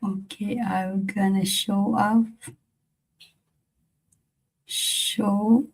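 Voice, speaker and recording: Female livestream host, Filipino accent, very slow halting delivery, mid-pitched, casual reflective chat with repeated phrases. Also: level tone, slightly rough. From Philippines.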